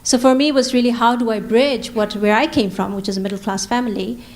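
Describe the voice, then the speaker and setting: A woman's voice: on the high side, steady tone, quick pace, darkish tone. A woman in her forties from Bangladesh, speaking on a panel as a social entrepreneur, earnest and emphatic, repeating her key phrases. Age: 40s. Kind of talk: panel talk.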